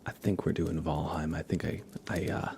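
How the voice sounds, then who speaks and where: A man chatting casually on an ASMR game livestream in a soft, breathy voice that often slips toward a whisper, hesitant and rambling. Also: rumbling low, little pitch movement, moderate pace, slight background noise. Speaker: livestream host. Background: music.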